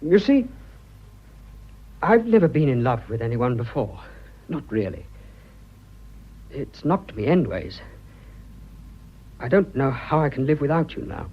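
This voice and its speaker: Husky, flustered young English lover. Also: male voice, mid-range voice, big pitch swings, muffled recording. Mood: choked up. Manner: halting, self-conscious, blurting, clipped old-fashioned speech. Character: earnest, awkward young businessman hopelessly in love. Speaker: radio drama actor. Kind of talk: period British radio drama acting.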